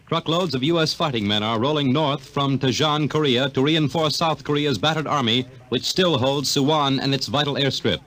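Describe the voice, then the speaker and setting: A man reading a 1950s radio news bulletin in a crisp, formal newsreader manner. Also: mid-range voice, fairly bright tone, poor audio. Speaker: news announcer.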